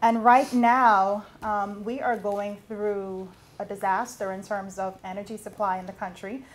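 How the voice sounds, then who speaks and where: Deliberate, emphatic woman from the Bahamas. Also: mid-range voice, level tone, a little hiss or hum, poor audio. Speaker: judge in a business pitch competition. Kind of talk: giving spoken feedback and questions to a contestant.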